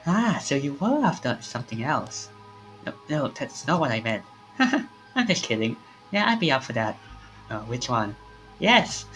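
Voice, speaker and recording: A streamer reads a visual novel's lines aloud in a very animated voice. The pitch climbs and drops a lot as the dialogue shifts from speaker to speaker. The delivery sits at a middle pitch overall. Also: unhurried, soft background music, low-quality recording.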